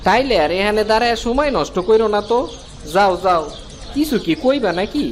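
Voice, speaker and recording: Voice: medium pitch, expressive, a little fast, a little hiss or hum, close to an everyday voice. An actor with a Bangladeshi accent voicing a brusque but kindly male fishmonger at a village market in a cartoon. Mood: dismissive. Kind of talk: Bengali fairy tale cartoon dubbing.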